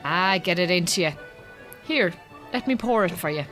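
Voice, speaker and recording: An actress playing a chatty, kindly middle-aged Irish farmer's wife in a radio drama, with an Irish accent and a frank, colloquial manner. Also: female voice, on the low side, lively intonation, moderate pace, a bit bright, faint music behind. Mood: teasing, friendly. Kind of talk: naturalistic radio drama acting.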